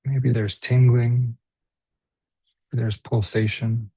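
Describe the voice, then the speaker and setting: A man leading a guided body scan meditation as a teacher, speaking gently and unhurriedly with pauses. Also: medium pitch, flat delivery, husky, dry recording.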